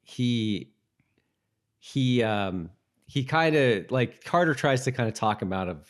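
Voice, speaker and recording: A man's voice: mid-range voice, animated. A man co-hosting a podcast, chatting casually about a TV show and often restarting his phrases. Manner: halting, conversational, repeats himself. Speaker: podcast co-host.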